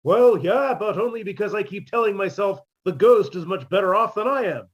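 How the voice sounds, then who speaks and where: A man playing a melodramatic, lovelorn, self-pitying maestro with a husky voice in a 1950s-style radio comedy. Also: sits high, lively intonation, a little fast. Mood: plaintive. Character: melodramatic, lovelorn, down-at-heel male maestro, mournfully comic.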